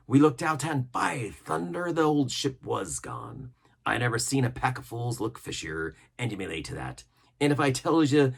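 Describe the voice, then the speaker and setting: A sly, smooth-talking pirate captain acted out by a male reader for a storybook. His voice is husky and swings widely in pitch, with salty dialect, a sneering geniality and menace under the gracious words. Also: exaggerated voice. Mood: wry storytelling.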